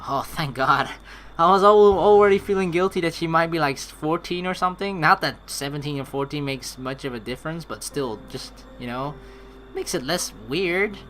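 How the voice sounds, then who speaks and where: A man doing game commentary as a let's player, reading narration and lines aloud in an animated, rambling, informal way. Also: fairly high pitch, slight background noise.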